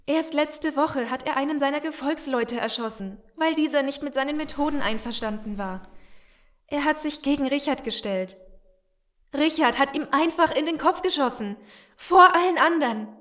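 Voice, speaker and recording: A woman's voice: sits high, normal speed, a bit bright. A woman playing a desperate, earnest young betrayer in a German zombie audio drama. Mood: pleading, bitter. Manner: earnest, urgent.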